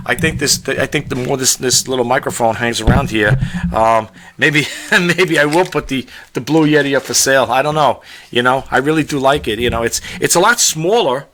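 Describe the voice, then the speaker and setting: A man with a self-described monotone voice giving a casual, rambling tutorial vlog, full of fillers and restarts. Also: medium pitch, slight background noise. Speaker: content creator.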